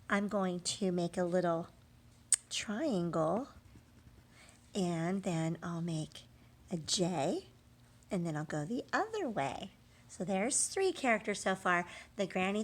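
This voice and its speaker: Woman teacher guiding young children. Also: mid-range voice. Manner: prompts with questions, pauses, affirms answers, drawn-out emphasis on key words. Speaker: early literacy teacher. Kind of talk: read-aloud lesson for young children.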